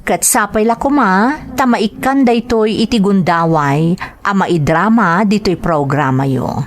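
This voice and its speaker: A woman with an Ilocano accent hosting a drama show, reading greetings and a listener's letter. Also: medium pitch. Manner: slow, expressive reading. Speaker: drama host and narrator.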